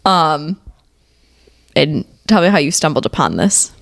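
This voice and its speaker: Lower-pitched young woman, casual podcast co-host. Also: sing-song, a little slow, gravelly. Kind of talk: casual chat.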